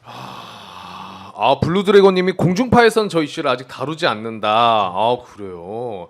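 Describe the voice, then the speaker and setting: A man's voice: medium pitch, animated. A man hosting a political talk show in a chatty way, often echoing his guests' words. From Jeolla region, South Korea. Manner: chatty, quick reactions, echoes guests' words. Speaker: host.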